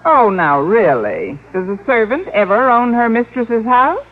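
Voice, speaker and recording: An actress playing a wry, sharp-tongued single schoolteacher with dry, sarcastic delivery in vintage radio sitcom style. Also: female voice, a little high, very animated, measured pace. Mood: haughty. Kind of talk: 1950s radio sitcom acting. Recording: tinny old radio, audience, noisy.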